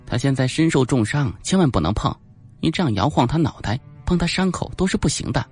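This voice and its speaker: A teasing, jealous young policewoman acted by a male audiobook reader. The voice is pitched up and lively, with a bossy edge. She sounds sharp-tongued and quick to scold. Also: husky, soft background music. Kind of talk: audiobook character acting.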